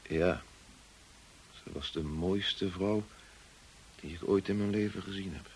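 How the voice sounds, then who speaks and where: An actor in a Dutch radio drama playing a kind, discreet and loyal estate manager, courteous and measured in his speech. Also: low-pitched, a little hiss or hum, low-quality recording. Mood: guarded, frank.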